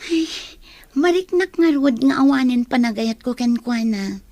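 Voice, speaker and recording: Young female student, high voice, pensive and evasive, audio drama romance role. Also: animated, normal speed, a little mellow, no room sound. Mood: troubled, resigned. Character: young female student, troubled and evasive about fading love. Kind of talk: Filipino radio drama acting.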